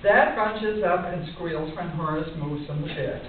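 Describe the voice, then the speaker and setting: An older woman poet reads her work to an audience in a measured, deliberate way, with casual introductions, picked up by a distant microphone. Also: on the low side, a crowd in the background. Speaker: poet reading her own poems. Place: indoor room at a conference, speaking at a lectern. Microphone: distant camera microphone. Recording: muffled, hissy recording from far off in echoey room.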